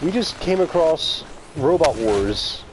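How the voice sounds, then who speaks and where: A man's voice: on the high side, very animated, slow pace, background noise. A man streaming a video game, chatting casually with his viewers and calling them by name. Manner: chatty, talks to chat viewers by name, laughs often. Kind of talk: casual live-stream commentary.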